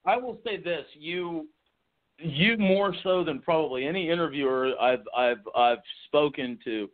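A man's voice: on the high side, measured pace. A man in his forties from Texas, a guest author chatting in an interview, enthusiastic and rambling with many restarts. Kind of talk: casual interview chat. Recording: tinny phone line.

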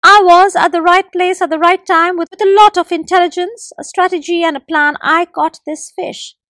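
A woman's voice: extremely high pitch, steady tone, normal speed, a bit bright, no room sound, studio quality, pitched above the performer's own voice. Woman voicing a boastful forest gecko. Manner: proud, stubborn refusals.

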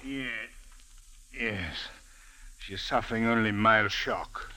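An actor playing a brisk, commanding police captain with an authoritative, formal manner in a 1940s radio drama. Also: male voice, fairly low pitch, pushed and bright. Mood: matter-of-fact.

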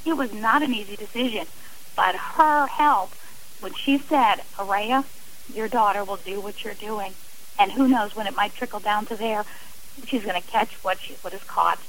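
A woman's voice: mid-range voice, normal speed, a bit bright, slight background noise, rough recording. A middle-aged woman guest on a radio interview, speaking earnestly and from the heart about her own experience, often restarting her sentences. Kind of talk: radio interview, personal account.

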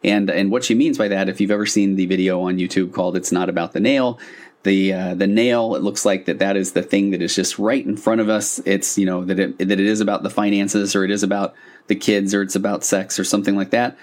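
A man's voice: low voice, flat delivery, brisk, darkish tone. A man hosting a casual solo podcast as a couples therapist, talking in an earnest, conversational, slightly rambling way with self-corrections.

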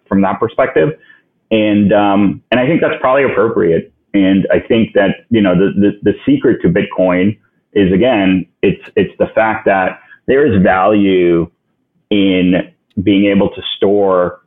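A man from Ukraine whose first language is Russian, a podcast guest answering in a halting way that thinks aloud with many restarts, heard over a phone-line recording. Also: low voice. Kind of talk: casual interview answers. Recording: tinny phone-line sound.

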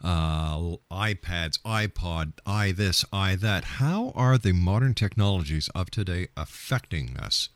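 A man hosting a radio talk show, speaking in a deliberate announcer manner as he interviews and gives announcements. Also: low voice, expressive, no room sound. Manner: deliberate announcer delivery, spelling out contact details.

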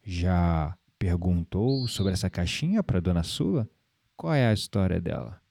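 An actor playing a gentle, earnest spiritual confidant with a Brazilian accent in an audio drama. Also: male voice, sits low, very animated, measured pace, gravelly, no echo, studio quality, exaggerated voice. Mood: gentle, mysterious.

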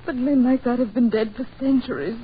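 A woman's voice: fairly high pitch, a little fast, close to an everyday voice. An actress playing an anxious, bewildered woman, pleading and urgent, in a 1940s radio horror drama. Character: anxious, bewildered woman trapped in danger, tender companion. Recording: tinny, noisy 1940s radio recording.

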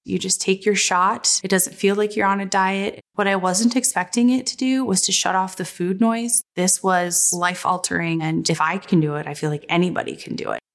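A woman speaks in a conversational testimonial style. Her pitch sits in the middle of the female range. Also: steady tone, moderate pace, few pauses.

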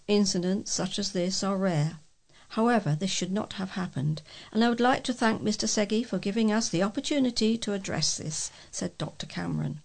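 A woman's voice: medium pitch, animated, a little fast, vocal fry. A woman reading local newspaper reports aloud as a volunteer newsreader.

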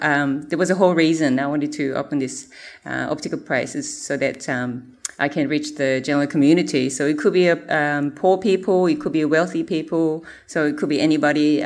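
A woman with a notably low, deep voice talks through her mission work. She speaks earnestly, stopping often with fillers as she gathers her thoughts. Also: fairly even intonation. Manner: halting, many fillers, earnest. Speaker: missionary presenter. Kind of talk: church mission presentation.

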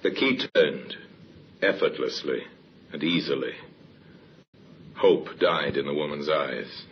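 A solemn crime-story narrator with a very low voice. He tells it in grave, measured phrases, as in 1950s radio drama. The recording is muffled, with a light hiss. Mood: grave, suspenseful.

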